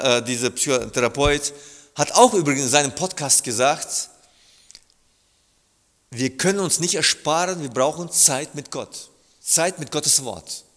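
A middle-aged man from Germany preaching with a fairly high voice. He talks earnestly, with halting restarts that build into emphatic appeals. His words ring out with a long reverb, as in a church hall. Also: a bit bright. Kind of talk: sermon.

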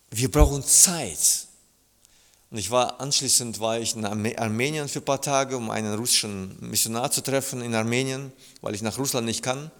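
Earnest preacher in a reverberant church hall. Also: male voice, mid-range voice, a bit bright. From Germany. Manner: earnest, halting with restarts, building to emphatic appeals. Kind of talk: sermon.

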